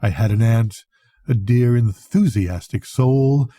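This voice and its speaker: Dark, husky older man reading a story. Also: mid-range voice, takes it slowly, no echo, clean studio sound. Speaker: grandfather reading bedtime stories. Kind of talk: expressive literary audiobook reading.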